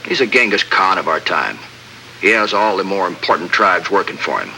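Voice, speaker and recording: An actor playing a steady American lieutenant in a 1950s radio drama, crisp and matter-of-fact. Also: male voice, sits low, normal speed, slight background noise, exaggerated voice, poor audio. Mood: earnest, briefing. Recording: old radio recording, faint music.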